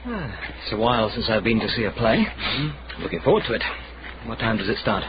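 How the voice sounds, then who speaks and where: An actor playing a genial but evasive young gentleman in a radio drama, casual yet touchy. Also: fairly low pitch, big pitch swings, brisk. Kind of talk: period radio drama acting. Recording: muffled, noisy radio recording.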